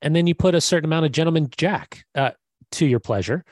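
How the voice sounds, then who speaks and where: A man co-hosting a podcast while sick and losing his voice, telling stories in an animated way with fillers. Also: on the high side, fast talker, no room sound, studio quality. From United States. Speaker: podcast co-host. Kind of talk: casual podcast chat.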